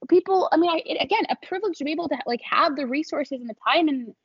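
A woman's voice: sits high, fast, dry recording, muffled recording. A young adult woman, a small business founder, talking casually as an interview guest, chatty and laughing often with many fillers.

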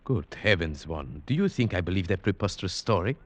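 An actor playing a grave, haunted man who narrates a night hunt in a measured, suspenseful 1950s radio drama style. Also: low-pitched, sing-song, dry recording. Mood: earnest. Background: dramatic music.

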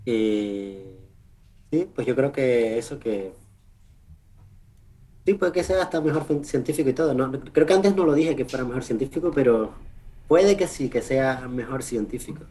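A man with a Canarian Spanish accent giving casual interview answers as a research scientist, in an informal, halting way with self-corrections and tag questions. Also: mid-range voice, lively intonation, dark tone. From Canary Islands, Spain. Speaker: research scientist, podcast guest.

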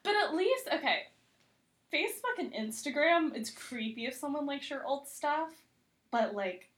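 A woman's voice: high voice, animated, measured pace, fairly bright tone. A woman chatting casually as a podcast guest, conversational and giggly, with "like" sprinkled through her sentences. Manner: conversational, heavy use of "like", giggly. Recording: roomy, echoey sound.

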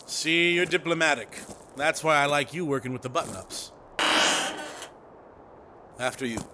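Bright, forceful, brash theatre director. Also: male voice, fairly high pitch, moderate pace, a little hiss or hum. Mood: confident, teasing. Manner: theatrical, self-assured, insistent. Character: brash, commanding young theatre director pitching radio shows. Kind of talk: 1930s period audio drama dialogue.

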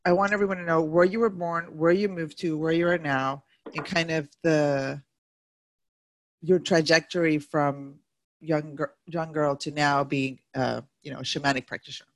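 A woman's voice: sits low, fairly even intonation, normal speed, no room sound. Woman podcast host, enthusiastic, casual slang, dry close recording.

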